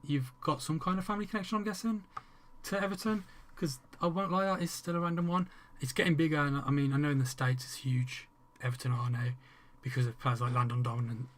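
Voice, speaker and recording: A man's voice: on the high side, pushed and bright. A man with an English accent chatting casually with viewers during a game livestream, rambling, restarting sentences and swearing freely. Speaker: streamer.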